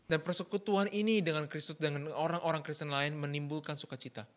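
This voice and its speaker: Fast bright male sermon interpreter. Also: on the high side, steady tone. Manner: quick, with fillers and self-corrections. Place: church.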